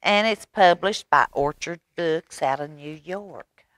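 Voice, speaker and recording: A woman reading a picture book aloud at storytime for young children, animated, with chatty asides to her listeners. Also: deep voice, slow, dry recording.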